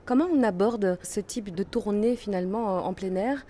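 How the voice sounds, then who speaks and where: A woman interviewing a band at a festival, asking measured questions with a few fillers. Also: medium pitch, dark tone, a little hiss or hum.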